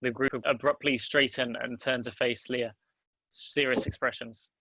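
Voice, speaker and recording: A man's voice: medium pitch, fairly even intonation, normal speed, sharp tone, no echo, rough recording. A man reading stage directions as scripted narration at a table read.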